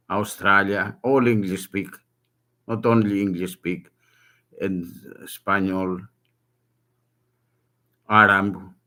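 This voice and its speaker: A man's voice: lowish voice, pushed and bright. An older man with a Greek accent, a native Greek speaker, making an urgent public appeal in broken English with short repeated phrases. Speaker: self-made video appellant.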